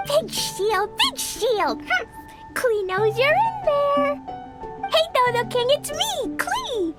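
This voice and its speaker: A woman's voice: very high voice, very animated, slow, loud music behind the voice, full character voice. A woman voicing an innocent, impulsive little girl in a video game, with an eager, bouncy, childlike way of talking. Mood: eager, insistent. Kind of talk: English video game voice acting.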